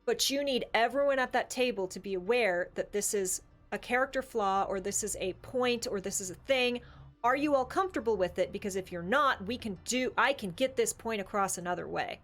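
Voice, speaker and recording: A woman's voice: medium pitch, a little fast, a bit bright, soft background music. A woman in a casual, opinionated discussion as a co-host, speaking emphatically and repeating phrases to drive points home. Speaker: podcast co-host.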